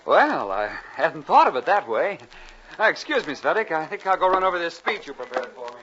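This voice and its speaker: An actor playing a vain, pompous, theatrical singing star in a 1950s radio drama. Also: male voice, on the high side, big pitch swings, brisk, a bit bright. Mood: flattered. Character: vain, affected male singing star, self-important and gullible. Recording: dull 1950s radio recording, music behind.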